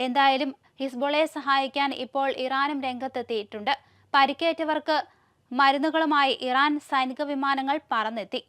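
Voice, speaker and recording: A woman's voice: high voice, fairly bright tone. A woman with a Kerala Malayali accent presenting the news as scripted narration.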